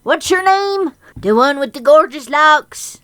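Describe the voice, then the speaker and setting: Casting: woman playing elderly farm granny pony, plain-spoken, firm yet kind, higher-pitched, dry close sound. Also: animated, a little slow, fairly bright tone, no echo. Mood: chatty, pleased. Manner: folksy, plain-spoken rural speech. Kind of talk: dramatic fan fiction reading.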